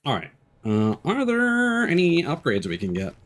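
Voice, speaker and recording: A man giving casual live gameplay commentary as a streamer, low-key and thinking aloud as he plays. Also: huge pitch swings, normal speed. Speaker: video game streamer. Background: game music.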